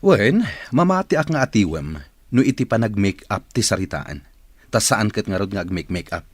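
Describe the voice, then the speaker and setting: Swooping, demanding Filipino office boss. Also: male voice, lowish voice, expressive, moderate pace, dry recording, studio quality. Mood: brisk, demanding. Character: demanding, fussy male office boss preoccupied with a woman. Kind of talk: Filipino radio drama acting.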